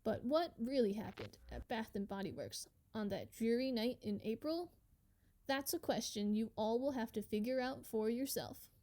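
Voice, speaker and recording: A woman's voice: on the high side, animated, normal speed, dark tone, slight background noise, natural voice. A woman in her twenties playing a casual, self-assured podcast host in a radio play. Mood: presenterly. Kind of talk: podcast hosting.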